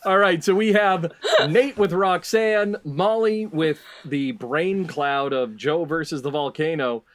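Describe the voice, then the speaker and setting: Male quiz host: high register, deliberate pacing, announcer-style readouts mixed with casual banter. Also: a bit bright. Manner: announcer-like, repeats contestants' answers back. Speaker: game show host. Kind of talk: lively scripted quiz hosting with casual banter.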